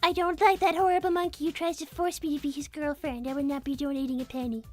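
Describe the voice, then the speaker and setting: Prim young rabbit lady , acted by a woman, tiny high-pitched voice, reproachful and proper, improvised cartoon roleplay. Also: steady tone, fast talker, a little mellow, no room sound, faint music behind, natural voice. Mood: prim, matter-of-fact. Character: prim, scolding young female rabbit, easily offended. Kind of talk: live improvised character roleplay.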